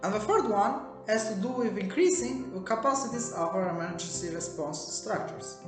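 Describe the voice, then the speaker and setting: A young woman from Albania, giving a steady prepared statement point by point. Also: medium pitch, normal speed. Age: young adult. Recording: roomy echo with faint music.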